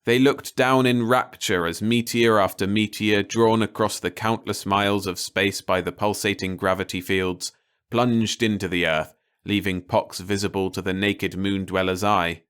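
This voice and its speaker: A man reading a story aloud as an audiobook narrator, in a measured, wry storytelling manner. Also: fairly low pitch, steady tone, a bit bright, clean tone. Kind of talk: scripted story narration, read-along audiobook.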